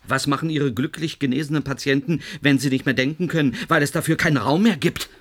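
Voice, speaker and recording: A man's voice: a little high, a bit bright. Domineering, impatient male project chief. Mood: brusque, challenging. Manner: commanding, insistent, deliberate. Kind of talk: German radio play acting.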